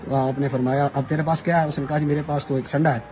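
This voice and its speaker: Soft-voiced man telling an anecdote. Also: on the high side, little pitch movement, fast talker, soft background music. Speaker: religious storyteller. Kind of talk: anecdote with quoted dialogue.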